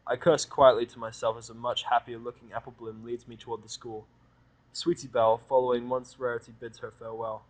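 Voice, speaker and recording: A man narrating a first-person fan fiction audio drama in a wry, world-weary, expressive way. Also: mid-range voice, a little fast, poor audio.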